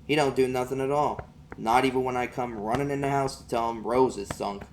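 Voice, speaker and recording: A man's voice: mid-range voice, fairly even intonation, brisk, a little hiss or hum. A man reading an audiobook as an amateur, narrating in the first person in a folksy vernacular.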